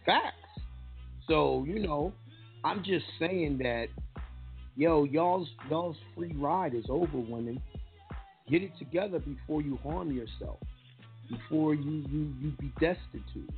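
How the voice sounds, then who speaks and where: A man's voice: on the high side, slow, vocal fry. A man with a New York accent hosting a talk radio show, blunt and profane in his opinions. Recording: lo-fi phone-line sound with faint music.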